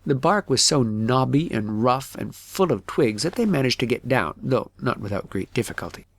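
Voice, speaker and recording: A man narrating a fairytale audiobook in an expressive, measured storybook manner. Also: medium pitch.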